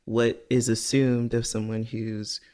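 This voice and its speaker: A man's voice: mid-range voice, little pitch movement, takes it slowly, a little mellow, clear, resonant tone. A man co-hosting a podcast. He talks in an earnest, rambling way, fills his speech with "like" and asks himself rhetorical questions. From United States. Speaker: podcast co-host. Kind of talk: casual reflective chat.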